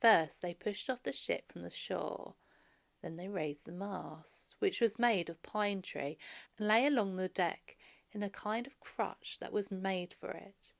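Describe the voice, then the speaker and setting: A woman's voice: mid-range voice, measured pace, vocal fry. A woman reading a story aloud for children as a narrator. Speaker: storybook reader.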